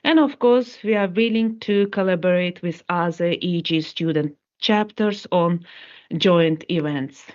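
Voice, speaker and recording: Woman with a lowish voice finishing a student chapter talk on a call, dull audio. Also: a little mellow. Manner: measured, with filler pauses. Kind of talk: presentation wrap-up. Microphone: video call.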